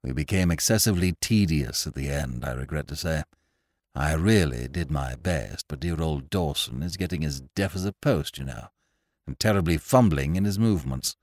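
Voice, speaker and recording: A man's voice: rumbling low, animated, moderate pace, darkish tone, no room sound, clean studio sound. A man voicing an effusive, jovial English barrister in an audiobook, urbane and talkative, with an upper-class English accent. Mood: apologetic. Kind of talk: audiobook character voice.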